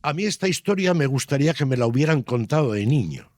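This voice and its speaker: A man's voice: medium pitch, lively intonation, quick pace, a little mellow, no echo, clean studio sound. An older man with a Castilian Spanish accent answers interview questions as a children's author, explaining things conversationally with frequent tag questions.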